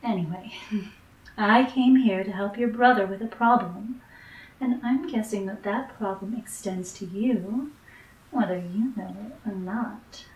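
A woman's voice: medium pitch, measured pace, darkish tone, distant mic, close to an everyday voice. Woman acting a roomy-sounding sardonic noir dame. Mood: teasing scorn. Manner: blunt, teasing, sarcastic. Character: tough, sardonic woman in noir detective tale. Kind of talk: audio drama acting. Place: indoor room on video call. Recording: roomy, off-mic home webcam sound.

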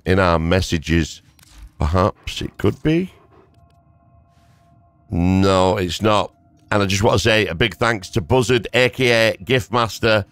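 Hoarse man, gaming commentary, low-ish smooth voice, relaxed rambling, faint game music. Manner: rambling, easygoing, thanks viewers. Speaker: gaming YouTuber. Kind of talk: casual gameplay commentary.

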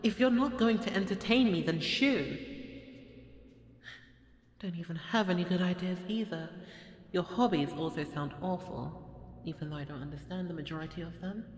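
A woman's voice: fairly low pitch, normal speed, long pauses, darkish tone, natural voice. A woman playing a haughty, bored, playful goddess of destruction in an ASMR audio roleplay, with an imperious, mocking, theatrical delivery. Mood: dismissive, bored. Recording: echoey hall reverb, faint background noise.

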